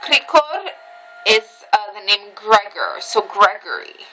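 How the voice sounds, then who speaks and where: A woman's voice: medium pitch, wildly swooping pitch, takes it slowly, music in the background, rough recording. A woman rambling casually to camera in a dim room at home, a bit tipsy from red wine and talking with loose, emotional wandering and many ums. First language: Armenian heritage speaker, reads Western Armenian. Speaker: vlogger.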